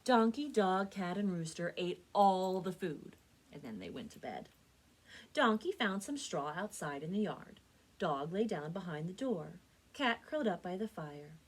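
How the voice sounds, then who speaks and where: A woman speaking as a children's librarian and storyteller, with an expressive, clearly enunciated read-aloud delivery. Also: lowish voice, measured pace, rough recording. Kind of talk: children's storytelling and book recommendations.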